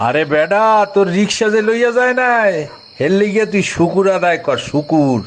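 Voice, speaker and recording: Man acting a pompous high-voiced Barisal policeman. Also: expressive, brisk, a little hiss or hum. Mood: hectoring, scornful. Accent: Barisal regional Bangladeshi. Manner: hectoring, sprinkles in English words. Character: pompous bullying policeman, officious and impatient. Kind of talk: audio drama acting.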